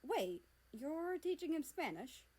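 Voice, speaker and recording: Woman doing shy sweet guy in a story, high voice, gets flustered easy. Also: very animated, slow pace, darkish tone, exaggerated voice. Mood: confused. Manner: squeaky when flustered, bashful. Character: sweet, shy, easily flustered male friend.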